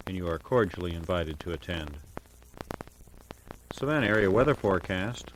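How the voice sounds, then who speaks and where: A man working as a radio DJ, introducing jazz records in a measured, formal announcing style with careful enunciation of names and dates. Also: deep voice, a little hiss or hum, rough recording. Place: radio studio. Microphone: broadcast studio microphone.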